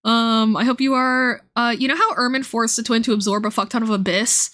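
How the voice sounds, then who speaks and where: A woman's voice: a little high, fairly even intonation, fairly quick, fairly bright tone. A woman livestreamer giving casual, enthusiastic commentary on game lore, informal and prone to swearing.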